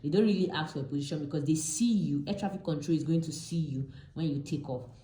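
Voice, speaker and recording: A woman with a Nigerian accent speaks in a low, mellow voice. She teaches informally, like an instructor at a diagram, going step by step and repeating key terms. The room around her is noticeably echoey. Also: fast. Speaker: pilot and aviation instructor. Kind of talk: informal explanatory lesson with a drawn diagram.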